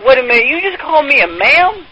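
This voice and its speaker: A man's voice: extremely high pitch, sing-song, fast talker, bright, forceful tone. A man making a prank phone call, pushy, badgering and mock-indignant. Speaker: prank caller. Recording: muffled, noisy phone line.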